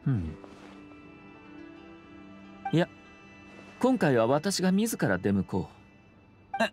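An actor voicing a suave young noble lord in a video game, speaking formal, measured with courteous composure and subtle wryness. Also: male voice, mid-range voice, huge pitch swings, a little mellow, soft background music, exaggerated voice. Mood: calm, inviting.

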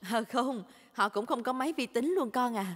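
A woman voicing a kind, patient mother pig in a children's cartoon dub, gentle and expressive. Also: fairly high pitch, normal speed, lightly acted. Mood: gently explaining. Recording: reverberant, with music behind.

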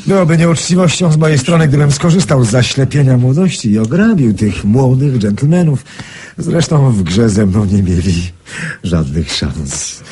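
An actor playing a composed, courteous middle-aged gentleman gambler in a radio drama, speaking in a measured way. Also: mid-range voice, animated, husky. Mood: calm, assured. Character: self-assured older gentleman gambler trusting his talisman.

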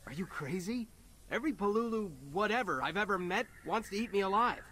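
A cocky American soldier in a video game, slangy and sarcastic. His voice sits a little high, with faint noise behind it. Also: lively intonation, brisk, a bit bright. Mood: incredulous, wry. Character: cocky, wisecracking human soldier, reluctant hero.

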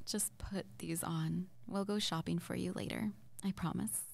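Small flirty witch played by a woman, intimate roleplay audio. Lowish, creaky, breathy, stammering and awkward. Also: full character voice. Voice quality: breathy, often dropping to near-whisper. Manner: hesitant, stammering, giggly.

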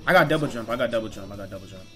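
A young man giving casual gameplay commentary with friends, excitable and foul-mouthed, slangy and often repeating himself. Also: on the low side, very fast, music in the background. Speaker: gaming YouTuber.

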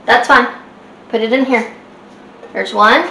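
High-voiced mom in a noisy kitchen. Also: female voice, steady tone, unhurried, creaky. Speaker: mother guiding children through a recipe. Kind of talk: casual home cooking chat. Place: home kitchen, indoors. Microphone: camera set back from the speaker. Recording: roomy kitchen, distant mic, loud clatter. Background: clicks, clattering dishes and pans.

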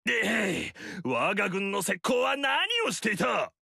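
A haughty, self-praising demon-clan noble in a video game. His voice is bright and high for a man, with a gravelly edge. The recording is dry and close. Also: sing-song, a little slow, dry recording, heavily acted. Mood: commanding. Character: pompous arrogant male demon-clan noble warlord. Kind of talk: video game battle lines.

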